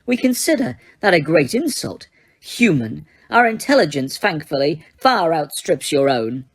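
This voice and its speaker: A woman voicing a proud, dignified male centaur who speaks slowly and with disdain. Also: deep voice, very animated, no room sound, clean studio sound, heavily acted. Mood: disdainful. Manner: slow, measured, disdainful.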